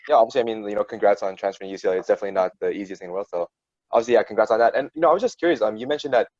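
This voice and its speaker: A man's voice: fairly low pitch, very fast, darkish tone, no room sound. A man in his twenties hosting a casual interview over a video call on earphones, with dull lo-fi sound. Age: 20s. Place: small room, indoors. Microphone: wired earphones on video call.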